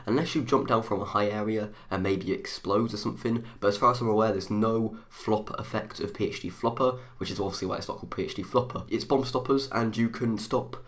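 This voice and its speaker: Fast-talking young man with a blocked nose. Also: on the low side, fairly even intonation. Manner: fast, rambling, thinks aloud. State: bunged up with a runny nose. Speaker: gaming video host. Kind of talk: rushed gaming commentary.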